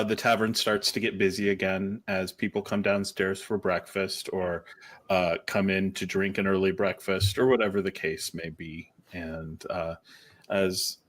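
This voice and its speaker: A man narrating a tabletop game as game master, improvising and thinking aloud in a halting way. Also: on the low side.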